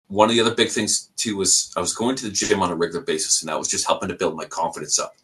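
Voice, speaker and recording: A man's voice: low voice, low-quality recording. A young Canadian man with a Canadian accent, a podcast guest casually telling his story and acting out the people in it. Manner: animated, acts out quoted people in his stories.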